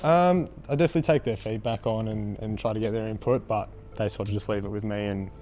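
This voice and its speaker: A young Australian man with a raspy voice, a band frontman answering interview questions in a laid-back, hesitant way with lots of ums. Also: lowish voice, fast, warm tone, a little hiss or hum.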